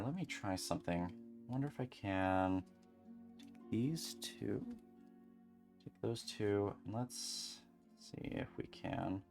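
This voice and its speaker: Deep low voice, calm soft talk, casual crafting stream chatter, light music in background. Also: gravelly. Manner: soft, calming, rambling with many fillers. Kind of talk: casual livestream chat while beading.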